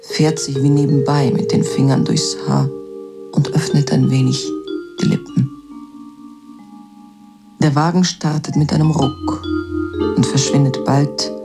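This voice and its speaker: A man narrating a literary radio drama in a measured, detached, observational way. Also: medium pitch, natural voice. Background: mallet percussion music.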